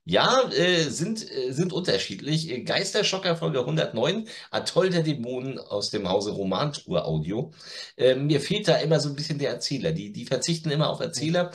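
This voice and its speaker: A man's voice: mid-range voice, very animated, normal speed. A middle-aged man, chatting casually and bluntly as he reviews audio dramas. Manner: opinionated, blunt. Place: home room with media shelves. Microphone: video call.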